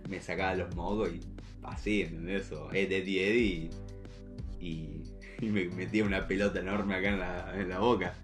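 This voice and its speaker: A man's voice: low-pitched, level tone, moderate pace, faint music behind. A young man with an Argentine accent talking casually as a podcast co-host. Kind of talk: casual chat.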